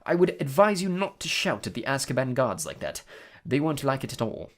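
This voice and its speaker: A man voicing a cold, smug aristocratic storybook villain with a smooth, lazy, condescending delivery. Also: mid-range voice, sing-song, a little fast, pitched above the performer's own voice, natural voice. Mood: smooth, menacing.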